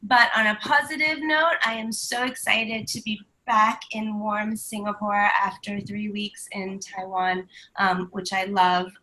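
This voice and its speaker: A woman's voice: mid-range voice, pushed and bright, poor audio. A woman co-hosting a live stream from home, upbeat and chatty with lots of ums, sounding stuffy from a cold. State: stuffy from flu. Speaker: co-host.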